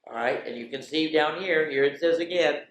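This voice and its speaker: A woman reading aloud to children as a storyteller, expressive and playful, with chatty asides. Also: deep voice, brisk, slight background noise. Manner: expressive, playful, drops into whispers for refrains. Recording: roomy, echoey sound.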